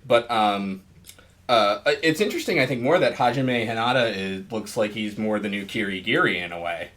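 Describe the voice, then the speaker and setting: A rather low-voiced man hosting a casual podcast interview. He rambles loosely, filling his thoughts with "like" and "you know" while he talks it through. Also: normal speed, a bit bright.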